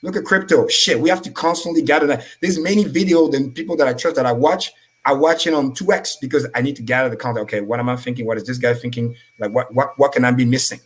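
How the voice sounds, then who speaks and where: High-energy man, native French speaker. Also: quick pace. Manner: high-energy, profane, preachy. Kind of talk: casual motivational talk.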